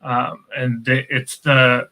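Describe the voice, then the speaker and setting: A middle-aged man with a very bright, crisp-edged voice speaks as a guest writer. He explains things in a measured, casual conversational way. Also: mid-range voice, little pitch movement. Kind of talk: casual interview chat.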